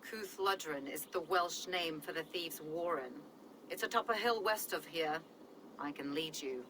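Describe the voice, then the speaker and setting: A woman playing a calm, shrewd ally and guide in a video game, measured and grave in manner. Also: low-pitched, slight background noise, low-quality recording. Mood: measured, concerned. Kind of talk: dramatic video game acting.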